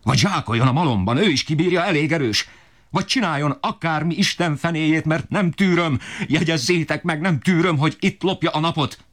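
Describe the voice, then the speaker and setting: A man's voice: sits low, voice pushed up, close to an everyday voice. A man voicing a desperate, enraged poor father who bellows in fury. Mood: raging. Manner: bellowing, furious outbursts.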